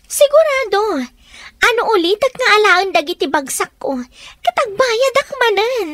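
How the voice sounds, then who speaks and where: A woman's voice: very high voice, animated, moderate pace, a bit bright, no echo, clean studio sound. A woman playing a spoiled young student daughter, whiny and melodramatic, in an Ilocano radio drama. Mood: whining.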